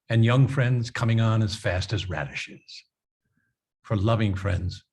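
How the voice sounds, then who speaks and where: A man's voice: lowish voice, a little mellow, husky. A man reciting a poem aloud over a video call. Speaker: theatre company guest. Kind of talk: poetry reading.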